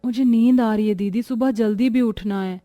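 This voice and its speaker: A woman performs a meek young pregnant wife in a cartoon family tale. She sounds weary and put-upon, polite even when she complains, in a fairly high voice. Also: normal speed, soft background music, lightly acted. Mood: weary, resigned. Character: tired, meek pregnant young sister-in-law, pleading. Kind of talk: acted Hindi cartoon moral story.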